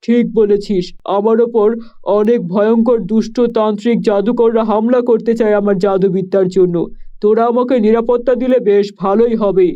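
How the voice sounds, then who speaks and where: A man's voice: high voice, fairly even intonation, a little fast, dark tone, close to an everyday voice. A man voicing a wise old male hermit sorcerer in a cartoon, speaking in a commanding way. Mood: solemn. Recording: dull lo-fi audio.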